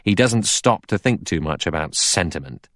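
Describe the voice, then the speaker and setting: A man's voice: deep voice, lively intonation, moderate pace, a bit bright, no room sound. Guy doing a pushy aggressive detective, blunt everyday wording, mystery audiobook, very dry sound. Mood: insistent. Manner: pushy, colloquial, emphatic argument. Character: blunt, aggressive middle-aged male detective, suspicious and cocksure.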